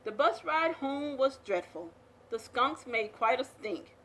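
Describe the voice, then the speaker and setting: A woman reading a children's picture book aloud as a storyteller, with expressive, dramatic delivery. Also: high-pitched, unhurried, slight background noise, poor audio.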